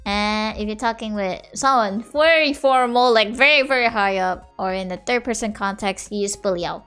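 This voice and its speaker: A woman's voice: medium pitch, normal speed, fairly bright tone, clean tone, faint music behind. A woman from Indonesia, casually teaching her own language on a livestream, giggling and apologizing as she explains step by step.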